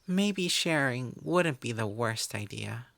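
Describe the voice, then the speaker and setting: A woman's voice: very deep voice, expressive, slow pace, vocal fry, a bit bright, no echo, exaggerated voice. A woman voicing a proud female elf wizard in an audio roleplay, prim and aloof, often sighing. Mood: softening.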